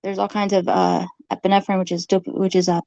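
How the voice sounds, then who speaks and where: A woman arguing informally in an accusatory, halting way, repeating her phrases, on a slightly dull recording. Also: mid-range voice, level tone, dark tone, no echo. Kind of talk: informal argumentative monologue to camera.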